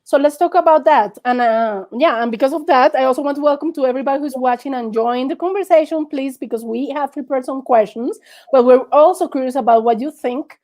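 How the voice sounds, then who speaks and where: This is a young woman hosting an interview over a video call. Her voice sits high and sounds warm and soft. She talks in a loose, chatty way through an earphone mic in a small room. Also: a little fast. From Colombia. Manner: chatty and laughing, with many fillers. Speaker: host. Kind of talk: casual interview on a live stream.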